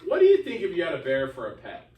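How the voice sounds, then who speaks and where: A man's voice: a little high, huge pitch swings, fast talker, muffled recording. A man teaching an elementary class informally, chatting and breaking off to correct pupils. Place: indoor classroom. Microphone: distant room microphone. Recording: roomy, distant mic, faint background noise.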